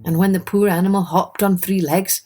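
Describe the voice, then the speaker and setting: A woman's voice: medium pitch, steady tone, moderate pace, dark tone. A woman narrating a scripted children's fairytale expressively, as the host of a storytelling podcast. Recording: music under the voice.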